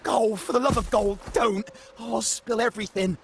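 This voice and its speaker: High, theatrical pirate henchman. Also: fairly even intonation, normal speed, slight background noise. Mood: frantic, scheming. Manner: theatrical, exclamatory storytelling. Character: boastful, grovelling male pirate henchman telling sailor legends. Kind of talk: video game character acting.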